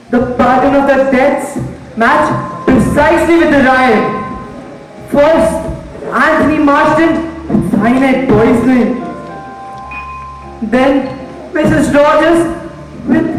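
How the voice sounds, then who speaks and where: A man acting a commanding judge in a stage play, with a deliberate, declamatory delivery. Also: very high voice. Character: commanding judge taking charge of frightened guests. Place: school hall stage. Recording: echoey hall with long reverb, music.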